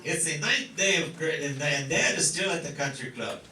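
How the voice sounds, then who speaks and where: A man's voice: a little high, normal speed. A man playing the narrator who announces each part of an amateur comic radio play. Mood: announcing. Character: announcer of a comic Christmas countdown tale. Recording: roomy, distant mic, loud crowd behind.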